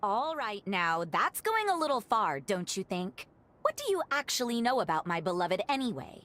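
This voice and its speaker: A woman's voice: medium pitch, sing-song, normal speed, a bit bright. A woman playing a spirited, protective sister in a video game cutscene, talking in a blunt, informal way. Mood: irritated, defensive. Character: spirited, exasperated sister, a protective betrothed noblewoman.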